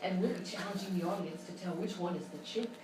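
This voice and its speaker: A woman playing a polite music talk show hostess in a live comedy sketch, with deadpan delivery. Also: fairly low pitch, fast talker, natural voice. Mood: cordial, deadpan. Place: live stage show at a hotel. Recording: very echoey, noisy live recording, distant mic.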